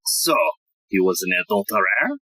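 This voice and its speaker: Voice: low-pitched, huge pitch swings, moderate pace, bright, forceful tone, husky, dry recording, full character voice, poor audio. An actor playing a pompous, absent-minded, muddled police inspector in a farcical audio play. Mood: suspicious.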